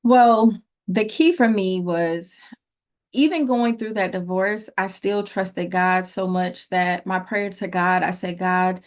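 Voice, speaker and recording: A woman's voice: lowish voice, slow pace. A middle-aged woman speaking as an interview guest, earnest and reflective, in a personal motivational style with emphasis on key phrases. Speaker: interview guest, relationship coach.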